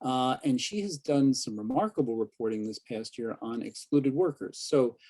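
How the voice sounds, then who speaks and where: A man moderating a video-call panel as a professor and newspaper editor, measured as he introduces guests. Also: mid-range voice, dark tone.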